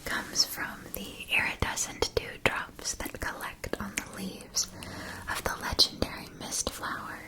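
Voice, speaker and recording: A woman voicing a gentle, attentive wand shopkeeper in a fantasy ASMR roleplay, whispering with soothing, careful enunciation. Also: measured pace, close to an everyday voice. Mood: soft, attentive. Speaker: ASMR performer.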